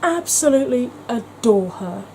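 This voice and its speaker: A woman's voice: on the high side, animated, a little slow, mellow, a little hiss or hum. A woman talking in a chatty, gushing way as a beauty vlogger, with frequent ums. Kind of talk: casual vlog chat.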